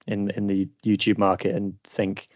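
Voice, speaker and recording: A man's voice: on the low side, monotone, normal speed, no room sound, highs cut off. A man hosting a rugby podcast, asking his guest questions in a chatty, inquisitive, enthusiastic way. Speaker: podcast host. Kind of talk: casual podcast interview.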